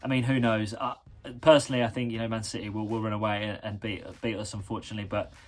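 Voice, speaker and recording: A man in his thirties from England, a guest giving football predictions in a measured, slightly hesitant way. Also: on the low side, level tone.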